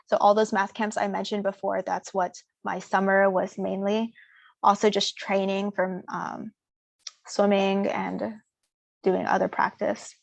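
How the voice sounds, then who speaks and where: A young woman talks through audience questions in a loose, easygoing way, often pausing on "um" and "like." Her voice sits in a middle pitch. The sound is close and dry, with no room echo. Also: vocal fry. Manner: relaxed and informal, many fillers like "um" and "like". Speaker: student speaker answering audience questions. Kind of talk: casual Q&A seminar.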